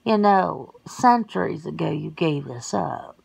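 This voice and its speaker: A woman voicing a folksy, blunt, centuries-old werewolf homebody in an ASMR roleplay, suspicious and quick to exclaim. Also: low voice, animated, gravelly, a little mellow, slightly rough, exaggerated voice. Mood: indignant, sassy.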